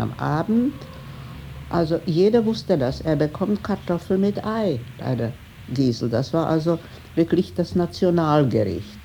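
Older woman, gravelly and mellow, halting emphatic reminiscence, faint noise. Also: deep voice, low-quality recording. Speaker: eyewitness interviewee. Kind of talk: casual spoken reminiscence.

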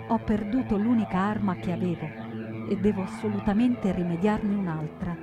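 An actress plays a young woman partisan, wounded, anguished and defiant. She delivers a theatrical monologue in short, deliberate, emphatic phrases at a medium pitch, with loud music running under the voice. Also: close to an everyday voice. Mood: somber, tense. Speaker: stage actor. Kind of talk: dramatic first-person theatrical monologue over music.

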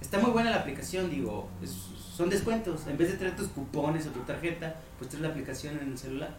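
A man's voice: a little high, lively intonation, fairly quick, a little mellow, roomy sound. A man with a Mexican Spanish accent, chatting casually on a podcast and explaining things step by step. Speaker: podcast host. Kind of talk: casual chat.

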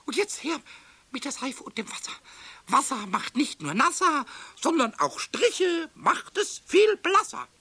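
An actor voicing a cheeky, excitable, childlike little kobold with a high, squeaky voice, stammering and repeating words in a children's radio play. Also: male voice, measured pace, no room sound, exaggerated voice. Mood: hopeful. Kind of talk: 1970s German children's radio play acting.

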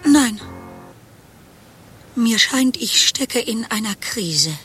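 Tormented humourless young woman, highish sharp voice, solemn, terse, grandiose, radio drama, faint music. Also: lively intonation, drawn-out words, full character voice. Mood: dry, aloof. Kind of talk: German radio play acting.

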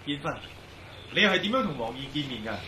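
An actor playing an inquisitive investigator questioning people in a radio drama. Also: male voice, on the high side, big pitch swings, quick pace, sharp tone, slight background noise, poor audio. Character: probing male investigator questioning witnesses. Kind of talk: Cantonese radio drama acting.

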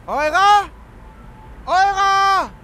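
A man's voice: very high-pitched, extremely slow, heavily acted. A man acting a lovesick, bewildered poet in a radio play, speaking in an intimate, impulsive way. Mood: desperate.

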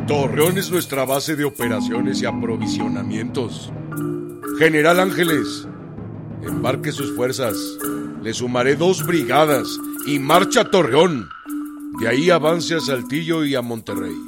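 A man's voice: mid-range voice, expressive, measured pace, over music, close to an everyday voice. A man acting a blunt, decisive Mexican revolutionary general in a radio drama, with a curt, authoritative delivery. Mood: firm, commanding.

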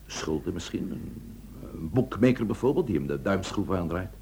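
An actor in a Dutch radio drama playing a sceptical, wry police inspector who hums and probes with questions. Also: male voice, sits low, expressive, normal speed, dark tone, slight background noise. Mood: probing, wry. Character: gruff, sceptical police inspector, career-minded former suitor.

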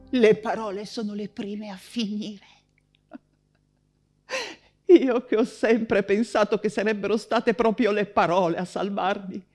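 An actress playing an anguished, feverish persecuted writer and mother in an intense theatrical radio monologue, halting and repeating her words. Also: high-pitched, a little mellow. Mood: haunted, grieving. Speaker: stage actress.

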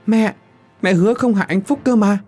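A man's voice: sits high, fairly quick, a little mellow, husky, soft background music, voice pushed up. A man doing a pleading young woman. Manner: pleading, distressed.

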